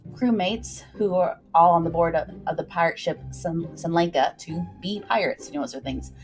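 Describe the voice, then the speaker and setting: A woman hosting a livestream, recapping a story informally and explaining it directly to her viewers in a chatty way. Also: mid-range voice.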